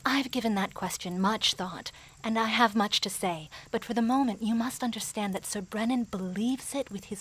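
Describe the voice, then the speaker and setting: A woman playing a grave mystic advisor in a fantasy audio drama, speaking formally, in an earnest and measured way. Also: medium pitch, lightly acted, low-quality recording.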